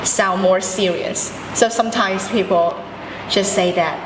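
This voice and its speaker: A woman's voice: fairly even intonation, measured pace. A young woman from Vietnam with a Vietnamese accent, speaking fluently and confidently as a student in a class discussion. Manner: fluent, self-assured, a low voice when speaking English. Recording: echoey room with background noise.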